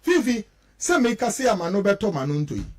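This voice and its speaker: A man's voice: sits high, big pitch swings, brisk. A man with a Ghanaian accent giving impassioned political commentary as a radio host, emphatic and repetitive, firing off rhetorical questions. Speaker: radio talk show host.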